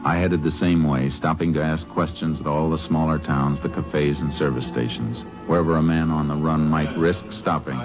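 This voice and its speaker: An actor playing a calm, methodical Texas Ranger in a 1950s radio western, speaking in clipped, matter-of-fact phrases. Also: male voice, extremely low pitch, normal speed, hardly any pauses, music in the background. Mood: sober narration. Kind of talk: 1950s radio western drama acting and narration. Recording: dull old radio recording with clear hiss.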